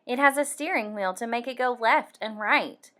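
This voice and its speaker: A woman reading a picture book aloud for young children, animated and deliberate, acting out the sound effects. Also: fairly high pitch. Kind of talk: expressive read-aloud storytelling for young children.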